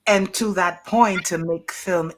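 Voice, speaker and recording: A woman hosting a community radio interview, speaking in a formal, deliberate, courteous way. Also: on the low side, fairly even intonation. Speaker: radio show host.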